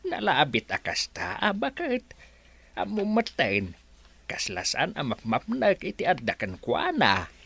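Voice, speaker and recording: A comically timid Filipino resort worker, played by a man in a radio comedy. He speaks slowly and haltingly, his pitch leaping wildly as he defers. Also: wildly swooping pitch, creaky, sharp tone, husky, exaggerated voice. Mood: grumbling. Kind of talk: comedy radio drama acting.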